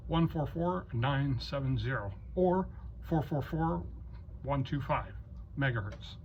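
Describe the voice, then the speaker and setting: A halting, courteous man speaking as the controller of an amateur radio net, in procedural style. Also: mid-range voice. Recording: dull lo-fi audio, faint noise.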